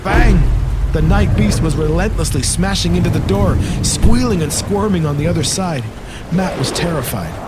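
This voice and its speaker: A man's voice: sits high, animated, normal speed, heavy background noise. A man narrating a scripted horror audio drama in a dramatic storytelling style. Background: storm rain sound effects and music.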